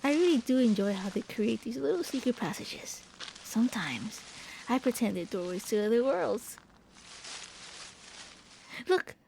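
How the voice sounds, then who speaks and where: Woman as a soft, mellow, gentle vampire prince. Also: fairly high pitch, very animated, measured pace, gravelly, pauses often, a little hiss or hum, heavily acted, low-quality recording. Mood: gentle enthusiasm. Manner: gentle, hesitant, intimate, stammering when flustered. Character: gentle, shy, eager young-looking immortal vampire prince. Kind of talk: soft ASMR roleplay monologue.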